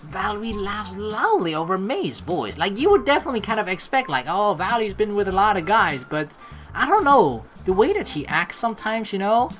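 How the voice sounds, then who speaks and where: A woman's voice: mid-range voice, very animated, a little fast, soft background music. A young woman doing casual, sweary let's play commentary and reading a visual novel's narration aloud. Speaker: let's player.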